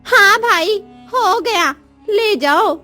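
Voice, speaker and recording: A woman's voice: very high voice, expressive, extremely slow, bright, forceful tone, faint music behind, voice pushed up, full character voice. A woman voicing an irritable, complaining village woman who sells tiffin meals in a cartoon story. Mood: annoyed, scolding. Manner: scolding, complaining.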